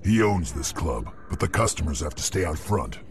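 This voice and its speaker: An actor playing a gruff, firm nightclub bouncer in a video game, formal and unbudging. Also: male voice, rumbling low, very animated, normal speed, a little hiss or hum, full character voice. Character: firm, gruff male nightclub bouncer guarding back rooms. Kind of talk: video game character dialogue. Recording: loud music behind the voice.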